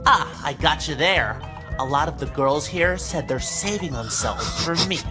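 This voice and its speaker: Woman voicing an awkward teenage boy nerd, swinging pitch. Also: lively intonation, normal speed. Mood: oblivious boasting. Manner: hesitant, eager, socially clumsy. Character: awkward, clingy teenage anime-nerd boy, self-deluded. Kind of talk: visual novel game voice acting. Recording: music behind the voice. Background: game music.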